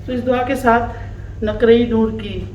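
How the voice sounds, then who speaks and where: Woman with urdu as her first language, slow warm tribute speech, sounds far off in a roomy echoey space with a bit of hiss. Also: a little high, steady tone, highs cut off. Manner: slow, warm, emphatic, laughing between phrases. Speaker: speaker at a farewell gathering. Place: office gathering room. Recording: echoey room from far off, faint noise.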